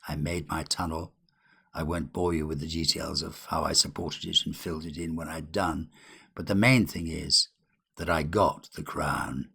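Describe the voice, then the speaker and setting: A man voicing a nervous, despairing young antiquarian in a storybook, resigned and halting, sometimes muttering. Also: very deep voice, fairly even intonation, vocal fry, pushed and bright, slightly rough, exaggerated voice. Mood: weary confiding.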